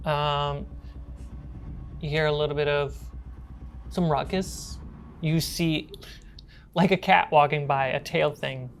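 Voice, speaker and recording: A woman game master with a low voice narrates a tabletop horror game. She takes her time and pauses between phrases. There is a light noise in the room behind her. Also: steady tone.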